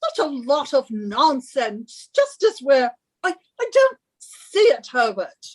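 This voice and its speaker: A woman's voice: high-pitched, very animated, slow pace, heavily acted, low-quality recording. A woman playing a bossy, haughty British wife in a radio suspense drama, with an imperious, theatrical delivery. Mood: scornful, impatient.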